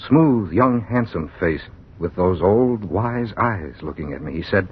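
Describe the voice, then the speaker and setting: An actor playing a bewildered, frightened man recounting a strange ordeal in intense, halting radio drama narration. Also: expressive. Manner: intense, halting, repeats words in disbelief. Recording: muffled, noisy old radio recording with rumble.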